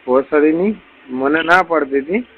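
A man's voice: fairly high pitch, a little slow, breathy edge. A man with a Kathiawadi Gujarati accent chatting casually on a recorded phone call in a blunt, crude way. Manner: crude, blunt, heavy swearing. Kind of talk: casual private phone chat.

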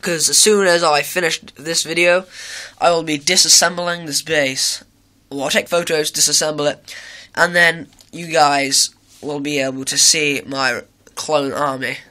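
Bright-voiced teen boy with a sore throat. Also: fairly high pitch, flat delivery, unhurried. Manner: rambling, with many fillers and self-corrections. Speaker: hobby video maker. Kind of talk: casual walkthrough of a model build. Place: indoor room at home, filming with a handheld camera.

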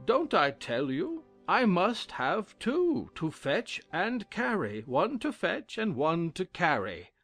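A pompous, fussy old king in an audio storybook. His theatrical voice is high and creaky, drawing words out with huge swoops. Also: wildly swooping pitch, takes it slowly, hardly any pauses, a bit bright, no room sound, faint music behind, heavily acted. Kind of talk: dramatized audiobook acting.

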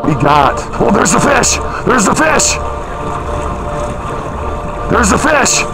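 Man, high gravelly voice, animated, shouting boat commands, outdoors on a lake. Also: poor audio. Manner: shouts quick coaching commands to the crew. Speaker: fishing boat captain. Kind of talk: excited on-water fishing commentary. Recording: loud music over the voice.

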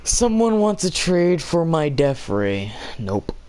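A man's voice: on the high side, sing-song, takes it slowly, a little mellow, clean tone. A man streaming a game, chatting casually and rambling with lots of swearing. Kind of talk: casual gaming chat. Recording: noisy voice chat audio.

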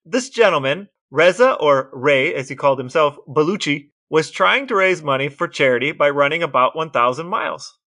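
A man co-hosting a podcast, telling a story in a lively, wry way in casual chat. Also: a little high, normal speed, few pauses. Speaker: podcast co-host.

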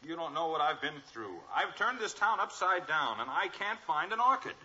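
An actor playing a flustered, henpecked middle-aged American neighbor in a 1950s radio sitcom. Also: male voice, a little high, big pitch swings, moderate pace, pushed and bright, exaggerated voice. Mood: frantic. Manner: flustered, dramatic. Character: frantic, grateful henpecked husband next door. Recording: dull old radio recording.

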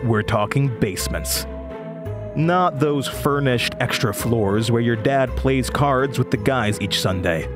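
An American man in his twenties playing a weary, sardonic Midwestern horror radio host in an audio drama, with a dry, halting manner. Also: medium pitch, close to an everyday voice. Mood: showy, spooky. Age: 20s. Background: music behind the voice.

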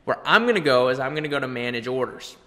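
A young man presenting a conversational software tutorial, explaining step by step with little check-in questions. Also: mid-range voice, animated, fast. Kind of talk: conversational screen-share tutorial. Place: indoor room, webcam beside screen capture. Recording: long reverberant room echo.

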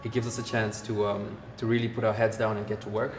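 A man, a healthtech co-founder, explaining his work in an interview with a measured delivery and frequent fillers, recorded in a bright office. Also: lowish voice, flat delivery, reverberant, big-room sound, music in the background. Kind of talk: technical interview answers. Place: bright office by large windows, indoors.

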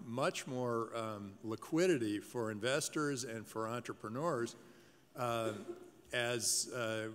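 Male panelist, mid-range voice, halting and upbeat; faint hall noise. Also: mid-range voice. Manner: halting, many fillers, enthusiastic. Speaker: venture investor panelist. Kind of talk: conference panel talk. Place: large conference hall stage. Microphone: table mic.

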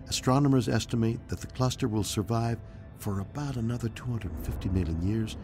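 Male ship's computer, lowish register, learned and helpful, measured formal delivery, music underneath. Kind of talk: scripted game voice pack explanations. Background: music behind the voice.

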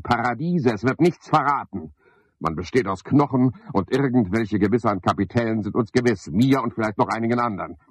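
An actor playing an eccentric, sardonic man in a radio play, rambling to himself and to unseen callers in a husky voice. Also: low voice, no echo, exaggerated voice. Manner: sardonic, brooding, musing aloud in fragments.

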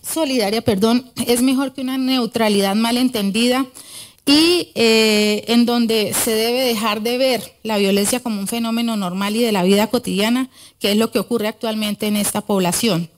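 Woman giving a conference talk, higher voice, lots of eh and self-corrections, big wood hall, podium mic. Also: steady tone, moderate pace. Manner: earnest, with frequent hesitations and self-corrections. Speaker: conference speaker. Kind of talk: academic lecture at a podium. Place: large wood-panelled auditorium. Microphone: podium microphone.

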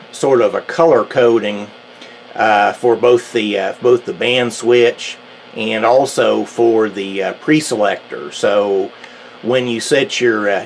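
A middle-aged man giving an unscripted equipment demonstration, halting and hesitant, with very frequent ums and pauses. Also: on the low side, a little hiss or hum, rough recording. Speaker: radio collector demonstrating a receiver. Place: indoors at a radio bench.

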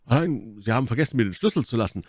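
A man's voice: mid-range voice, expressive, racing, no echo, pitched above the performer's own voice, close to an everyday voice. Man playing a dutiful soldier, dry close sound. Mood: earnest, puzzled. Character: dutiful soldier on guard, explaining himself. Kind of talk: dramatic audiobook character acting.

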